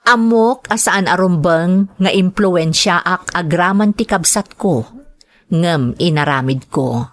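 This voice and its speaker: A woman playing a hurt, resentful young adult elder sister in a radio drama, serious and emotional. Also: on the low side. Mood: regretful, confiding.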